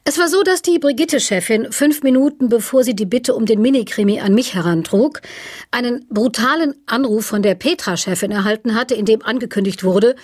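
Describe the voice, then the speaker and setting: An actress playing a cool, self-justifying author being interviewed in a radio satire, speaking articulately in formal wording. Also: female voice, on the high side, fairly quick, a little mellow, no room sound, studio quality, lightly acted. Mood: confiding, wry.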